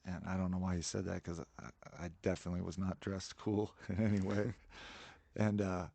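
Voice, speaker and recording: Low gravelly man telling a story. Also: normal speed, no room sound. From Colorado. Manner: relaxed storyteller, many fillers. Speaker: podcast guest, musician. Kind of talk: casual storytelling.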